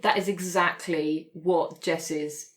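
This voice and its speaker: A woman's voice: lowish voice, fairly even intonation, takes it slowly. A woman chatting casually as a player in a tabletop roleplay group. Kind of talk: casual chat.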